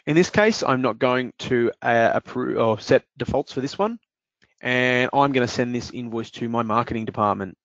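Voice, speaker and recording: A man presenting a screen-share software demo, explaining each step in a steady way with occasional fillers. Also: medium pitch, normal speed, dry recording, muffled recording.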